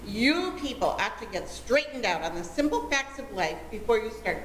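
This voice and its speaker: An amateur actress playing an indignant, lofty young daughter in an old-time radio comedy, prim and wordy. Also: female voice, a little high, animated, normal speed, a bit bright. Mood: exasperated. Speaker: amateur actor. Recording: echoey hall, faint noise.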